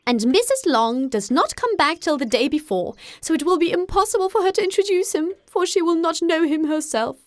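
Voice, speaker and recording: Woman acting a shrill, excitable middle-aged mother. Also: fairly quick, few pauses, no room sound, studio quality. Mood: agitated, exasperated. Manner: fretful, effusive, dramatic. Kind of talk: audiobook character acting.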